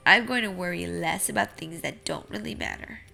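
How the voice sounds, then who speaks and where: A woman's voice: fairly low pitch, brisk, creaky, pushed and bright, faint music behind, pitched below the performer's own voice, full character voice. A woman voicing a grumpy, anxious male seed in a theatrical, announcing way for a children's storybook. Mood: resolved. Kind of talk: children's picture book read-aloud.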